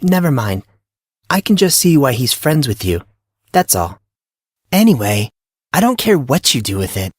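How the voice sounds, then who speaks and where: A man's voice: mid-range voice, big pitch swings, normal speed, pauses often, no echo, clean studio sound, pitched above the performer's own voice. A man voicing a bossy, teasing teenage girl in an audio drama, speaking in a taunting, dramatic way. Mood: cool, dismissive. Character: brusque, teasing, secretive blonde teenage high school girl. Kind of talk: audio drama character acting.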